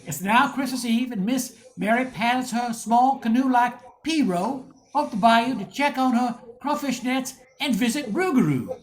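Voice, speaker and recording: A woman's voice: on the high side, moderate pace, hardly any pauses, a little hiss or hum. A woman reading scripted narration for a radio drama, as the storyteller between the characters' lines.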